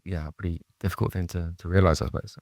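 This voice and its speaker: A man with a fairly low voice hosts a casual interview podcast. He sounds understated, feeling his way haltingly through questions, in a close, dry recording. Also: steady tone, gravelly, a little mellow, no room sound.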